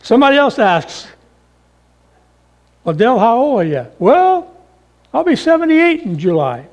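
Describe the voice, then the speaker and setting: A man's voice: very high-pitched, big pitch swings, measured pace. A man in his seventies preaching a sermon in a folksy, humorous way, full of rhetorical questions. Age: 70s.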